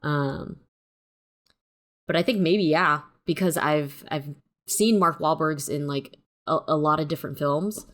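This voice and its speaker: Low-voiced woman in casual review chat. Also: measured pace, a bit bright. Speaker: review show co-host.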